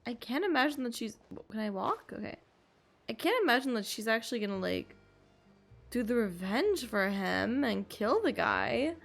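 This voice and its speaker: Creaky-voiced woman streaming a game. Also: fairly high pitch, sing-song, normal speed, a bit bright, soft background music. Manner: reacts aloud to the game. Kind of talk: casual live game commentary.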